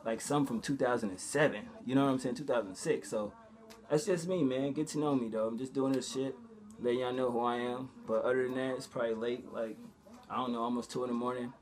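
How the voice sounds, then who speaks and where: A man's voice: medium pitch, steady tone, fairly quick, darkish tone. A man talking casually to viewers as a vlogger, conversational and full of slang and swearing. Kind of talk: casual vlog talk to viewers.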